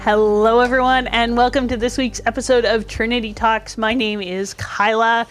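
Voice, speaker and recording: A young woman hosts a casual church podcast conversation. Her voice sits a little high, and she keeps her guests talking with encouraging okays and easy follow-up questions. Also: flat delivery, moderate pace, soft background music. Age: young adult. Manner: friendly, encouraging prompts with many okays. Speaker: podcast host.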